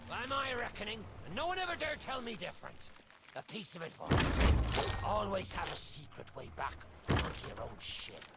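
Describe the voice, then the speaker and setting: A man's voice: high-pitched, lively intonation, moderate pace, vocal fry, very noisy. A man playing a swaggering, roguish captain's talking skull in a video game. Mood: proud. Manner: theatrical, boastful, roguish. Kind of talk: video game character acting. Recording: game audio under loud music.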